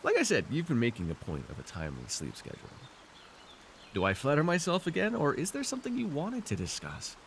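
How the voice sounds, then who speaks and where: A man's voice: on the high side, huge pitch swings, a little fast, a little hiss or hum. A man playing an arrogant, seductive fantasy warlord in an intimate roleplay, teasing and theatrical as he speaks to one listener. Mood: smug, coaxing. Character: arrogant, seductive male fantasy villain warlord, dream-wielding conqueror. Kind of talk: immersive ASMR fantasy roleplay acting.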